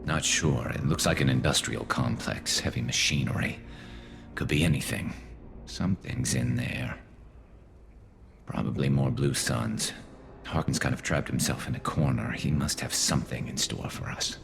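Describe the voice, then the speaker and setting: A man playing a grim, vengeful alien ex-cop turned vigilante in a video game, with a gravelly voice and terse, menacing delivery. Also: very deep voice, moderate pace, fairly bright tone, a little hiss or hum, exaggerated voice. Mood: wary. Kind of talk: video game character acting.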